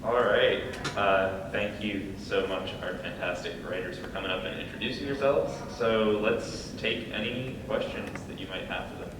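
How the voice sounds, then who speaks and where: A man's voice: lowish voice, moderate pace, hardly any pauses. A man casually moderating a live question-and-answer session. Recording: echoey hall, distant, clear noise.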